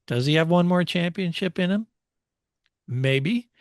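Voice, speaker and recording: A man's voice: high voice, unhurried, no echo, clean studio sound. A man co-hosting a motorsport show in casual, conversational and analytical discussion.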